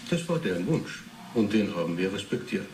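Low-voiced composed male witness. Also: very animated, brisk, darkish tone. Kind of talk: 1980s German radio drama acting. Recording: roomy, noisy interrogation tape.

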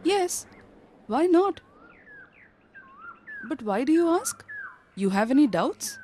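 A naive, open royal servant from a cartoon tale, acted by a woman. Her high, mellow voice moves up and down in a lively, questioning way. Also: slow pace, a little hiss or hum, heavily acted. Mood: curious.

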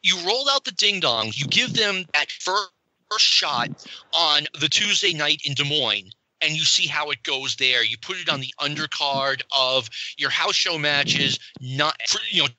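A man co-hosting a podcast in an animated, opinionated way. His voice is very bright and cutting, recorded close and dry with no room sound. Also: a little high, unhurried, no echo, rough recording. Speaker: podcast co-host. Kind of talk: casual podcast chat.

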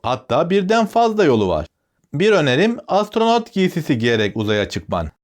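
A man's voice: on the high side, wildly swooping pitch, moderate pace. A man voicing an inquisitive, helpful schoolboy inventor in a fairy tale, explaining things eagerly.

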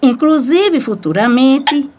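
A woman's voice: high voice, expressive, slow pace, noisy background. Persuasive Brazilian woman, clattery background. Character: confident, persuasive seamstress planning a business. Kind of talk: radio soap opera acting.

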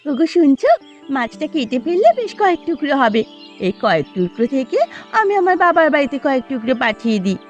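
A woman voicing a sharp-tongued, easily hurt young village wife in a cartoon. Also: high-pitched, sing-song, quick pace, lo-fi sound, faint music behind. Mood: coaxing. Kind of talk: Bengali cartoon dialogue.

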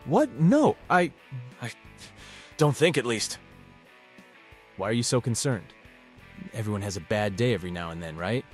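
A man's voice: lowish voice, big pitch swings, normal speed, pauses often, faint music behind. A man playing an awkward, wry teenage boy in a visual novel, both narrating and speaking in dialogue. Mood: flustered.

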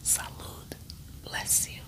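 Soft whispering woman teaching Spanish words. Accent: Spanish-speaking, rolls her r's. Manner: slow, soft whispering with careful repetition. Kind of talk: whispered ASMR language lesson.

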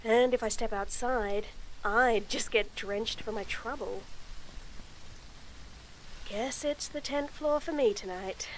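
A young woman voicing a shy, nervous tomboy friend in a romantic roleplay audio, stammering, giggling and speaking softly. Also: fairly high pitch, slow pace, long pauses, a little hiss or hum, rough recording. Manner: stammering, giggly, soft-spoken. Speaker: voice actor.

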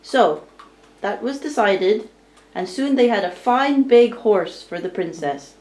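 A woman tells a storybook tale at an unhurried pace. Her delivery is deliberate and theatrical, at a middling pitch. The recording is roomy and a little distant. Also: animated, darkish tone. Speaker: storyteller narrating a puppet show. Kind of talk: live storybook narration.

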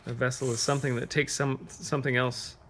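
A man reading a picture book aloud to children, pausing to ask them gentle, encouraging questions. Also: mid-range voice, little pitch movement, a little hiss or hum. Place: carpeted room at home, sitting on floor.

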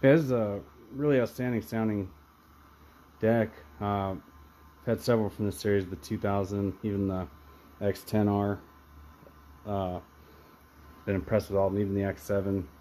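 A man's voice: lowish voice, flat delivery, normal speed, pauses often, dark tone, slight background noise. A man giving a casual, off-the-cuff product demonstration of audio gear, speaking from behind a handheld camera in a room.

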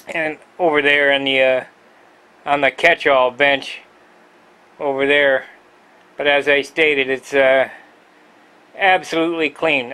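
A man with a somewhat high voice rambles through a tour of his cluttered hobby shop, stopping often on fillers and clearing his throat. He films it himself, so his voice comes through the camera's own microphone indoors. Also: fairly bright tone. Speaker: model airplane builder, channel host. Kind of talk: casual shop tour vlog.

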